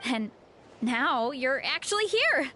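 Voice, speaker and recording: A woman voicing an eager, starstruck young warrior in training in an animated video game style, gushing with excitement. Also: high voice, takes it slowly, a little hiss or hum, exaggerated voice.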